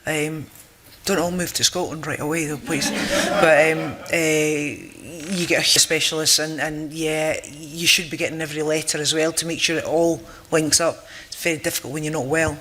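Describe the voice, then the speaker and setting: Brief: chatty patient panelist, bright tense tone, a little high, little pitch movement. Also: male voice, fairly even intonation, normal speed, few pauses, slight background noise. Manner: chatty, joking. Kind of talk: casual panel talk.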